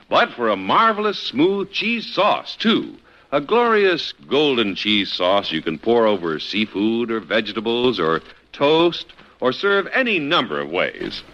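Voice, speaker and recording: A man's voice: medium pitch, bright, forceful tone. A man announcing on 1950s radio with a polished, emphatic sales delivery. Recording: dull vintage 1950s radio recording.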